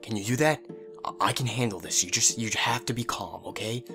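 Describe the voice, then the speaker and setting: A man's voice: lowish voice, a little fast, pushed and bright, faint music behind. A man playing a young man who talks casually and expressively in an amateur audio drama, coaxing and griping. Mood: soothing.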